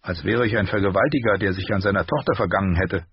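A man voicing a shy young male blacksmith apprentice, speaking quickly and eagerly. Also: deep voice, breathy edge, no echo. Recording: dull lo-fi recording.

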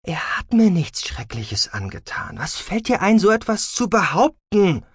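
A man's voice: high-pitched, very animated, measured pace, breathy edge, no echo, clean studio sound, pitched above the performer's own voice, exaggerated voice. A man voicing a guarded, irritable mother in an audiobook, curt and exasperated. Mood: indignant. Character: stern, guarded, irritable mother of a teenage girl. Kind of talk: dramatic audiobook character acting.

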